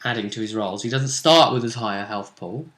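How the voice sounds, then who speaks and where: A man with a medium-pitched voice hosts a tabletop game show. He recaps fights casually, yet tells them like a dramatic story, leaning on pauses for emphasis. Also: fairly bright tone. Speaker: host and commentator on a tabletop roleplay vidcast.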